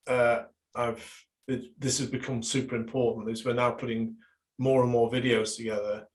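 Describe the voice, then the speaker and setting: Halting coach, flat delivery. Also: male voice, mid-range voice, rough recording. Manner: hesitant, many fillers and restarts. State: losing his voice. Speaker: business and marketing coach leading a webinar. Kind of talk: live online workshop presentation. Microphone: video call.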